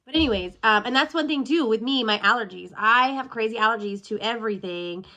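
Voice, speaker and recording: A woman's voice: on the high side, a little fast, pushed and bright, dull audio. A woman in her thirties, sniffly from allergies, talking candidly in a casual self-recorded video check-in and drifting off on tangents. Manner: candid, chatty, goes off on tangents. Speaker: military spouse vlogger.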